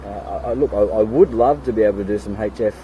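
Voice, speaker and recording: An Australian man chatting casually as an amateur radio operator, pausing often, recorded outdoors. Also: lowish voice, dull audio. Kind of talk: casual radio chat with spelled callsigns. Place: outdoors in a kayak on a creek. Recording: outdoor recording with steady background noise.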